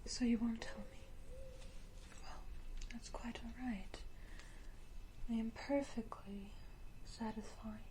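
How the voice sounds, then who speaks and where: A woman voicing an elegant, condescending vampire ruler, whispering with teasing, deliberate menace in an ASMR roleplay. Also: a little high, fairly even intonation, warm tone, slight background noise, poor audio. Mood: quietly menacing.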